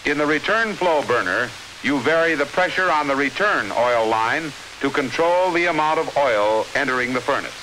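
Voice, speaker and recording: An American man reading scripted 1950s instructional film narration in a measured, clearly enunciated, authoritative manner. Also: mid-range voice, animated, pushed and bright, rough recording. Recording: hissy 1950s film soundtrack.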